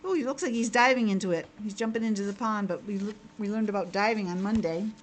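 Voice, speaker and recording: A woman teacher reading and explaining a storybook to young children in an expressive, child-directed way. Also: medium pitch, fast, a little hiss or hum. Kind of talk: storybook narration with simple explanations.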